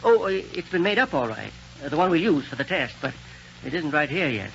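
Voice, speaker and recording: Kindly fussy old inventor from a 40s radio show, kinda high voice, stammers, dull old recording. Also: male voice, very animated, a little fast, vocal fry, a bit bright, exaggerated voice. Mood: earnest, proud. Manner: stammering, chuckling, avuncular. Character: amiable, fussy older male scientist and inventor. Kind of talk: 1940s radio serial acting. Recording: muffled, hissy vintage radio recording.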